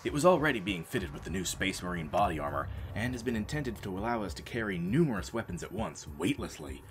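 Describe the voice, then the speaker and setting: A man acting a world-weary, deadpan space marine reduced to janitor, telling his story as dramatic audio drama narration. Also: sits low, fast, a little hiss or hum. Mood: dry, explanatory. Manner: sardonic, deadpan, brooding. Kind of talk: dramatic first-person scripted narration.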